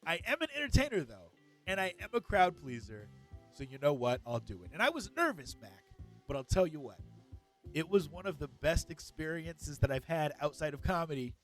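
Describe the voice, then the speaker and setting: A man's voice: fairly high pitch, big pitch swings, moderate pace, a bit bright, soft background music. A man co-hosting a gaming podcast, a comedian telling stories in an enthusiastic, joking way. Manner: enthusiastic storyteller, upbeat and joking. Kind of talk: casual podcast chat.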